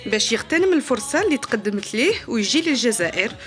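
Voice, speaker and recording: A woman's voice: a little high, racing, soft background music. A woman reporter narrating a scripted voice-over for a television segment. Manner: lively, animated narration.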